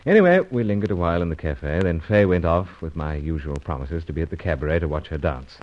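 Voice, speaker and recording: An actor playing a wry, modest secret agent in a radio serial, speaking in clipped, hesitant phrases with dropped words. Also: male voice, low-pitched, lively intonation, fast talker. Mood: reflective narrating. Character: gentlemanly pipe-smoking British secret agent, wry and modest. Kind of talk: old-time radio serial acting and narration. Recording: dull, lo-fi old radio recording.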